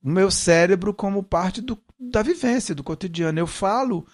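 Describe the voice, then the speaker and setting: A middle-aged man with a Northeastern Brazilian accent softened by São Paulo, chatting as an interview guest and repeating words as he searches for the next one. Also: sits high, expressive, moderate pace. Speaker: guest, musician. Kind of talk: casual interview conversation.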